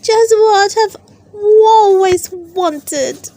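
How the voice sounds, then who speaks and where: A woman voicing a thrilled small boy in a storybook, gasping with drawn-out excitement. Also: extremely high pitch, dry recording, pitched above the performer's own voice, full character voice.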